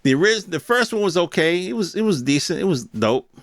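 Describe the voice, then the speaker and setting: A man's voice: sits high, big pitch swings, a little fast, no echo, studio quality. A man hosting a podcast, giving a casual, unscripted opinion rant in informal, slangy speech with some swearing and questions thrown at his listeners. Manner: rhetorical questions addressed to listeners. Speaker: podcast host.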